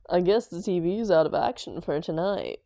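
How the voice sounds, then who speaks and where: A woman playing a sardonic, hot-tempered supervillain with a soft spot for her partner in a comic roleplay audio drama. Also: fairly low pitch, vocal fry, no room sound, full character voice. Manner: sarcastic, dramatic, affectionate with partner.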